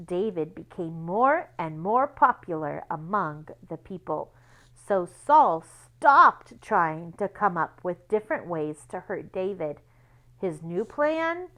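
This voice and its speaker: Lady reading a kids bible school book out loud, really slow and careful, animated intonation, explains as she goes. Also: mid-range voice. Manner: deliberate, explanatory, spells out words and numbers. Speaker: children's Bible school read-aloud reader. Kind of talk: read-aloud storytelling for children with teaching asides.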